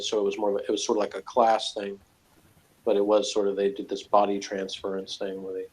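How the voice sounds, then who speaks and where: A man's voice: fairly low pitch, husky, rough recording. A man giving rambling, loosely phrased commentary in a casual review discussion. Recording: faint background noise.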